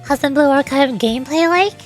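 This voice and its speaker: High-voiced woman streamer, casual chat. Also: a little fast, faint music behind. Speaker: vtuber streamer.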